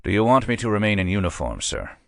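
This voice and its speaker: A man voicing a wisecracking young police sergeant in an audiobook, flat and sarcastic. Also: lowish voice, fast, gravelly, dry recording, studio quality, voice pushed up, exaggerated voice. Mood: sardonic.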